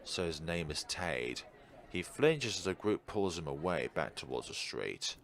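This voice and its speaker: A man with a deep voice reads a visual novel aloud for a let's play. His delivery is animated, swinging up and down between narration and his own commentary. He occasionally stumbles on a line and corrects himself. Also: unhurried. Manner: stumbles over read lines and corrects himself. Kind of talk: game commentary and dramatic read-aloud narration.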